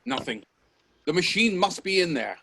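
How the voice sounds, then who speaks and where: Man acting a husky, hot-tempered Edwardian inventor. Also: high voice, sing-song, moderate pace, full character voice. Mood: urgent, determined. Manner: forceful, demanding, urgent. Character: driven, hot-tempered male Edwardian inventor, stubborn and obsessive. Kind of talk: radio play acting.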